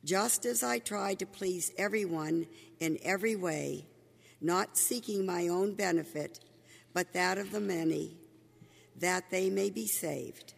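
A man reading scripture aloud in church as lector, slowly and in measured phrases. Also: high voice, vocal fry, breathy edge. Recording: echoey church hall.

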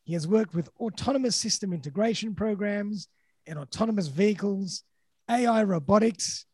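A man's voice: sits high, moderate pace, darkish tone, husky, dry recording. A man hosting a podcast interview, upbeat and full of praise for his guests. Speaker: podcast host.

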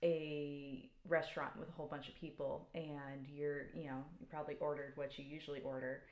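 Woman co-host, very low voice, hesitant and thoughtful, echoey room. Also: level tone, fairly quick, a little mellow. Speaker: podcast co-host. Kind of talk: casual podcast chat.

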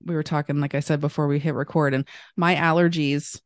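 A woman from Kentucky hosts a podcast in a chatty, easy way, laughing often. Her voice sits low and sounds a little scratchy from allergies. The recording is close and dry. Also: level tone, brisk, no room sound. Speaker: podcast host. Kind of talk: casual interview chat.

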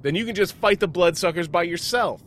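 A man's voice: sits high, a little fast, a bit bright. A man playing a wounded, exasperated vampire-slaying partner in a radio drama, sarcastic and bitter.

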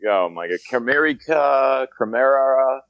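A man's voice: mid-range voice, expressive, a little slow, no room sound, muffled recording. A man who grew up in South America, a podcast guest chatting casually and laughing often, rambling with restarts. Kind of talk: casual chat.

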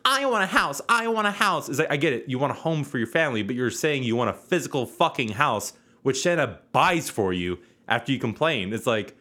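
A man's voice: mid-range voice, lively intonation, a little fast, few pauses, bright, forceful tone. A young man co-hosting a podcast in casual chat, sarcastic and joking, swearing freely. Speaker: podcast co-host.

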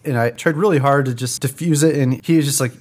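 A man's voice: mid-range voice, fast talker, darkish tone. A man co-hosting a casual podcast, chatty and laughing easily as he tells stories. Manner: chatty, laughs often while telling stories. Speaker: podcast co-host. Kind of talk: casual chat, storytelling.

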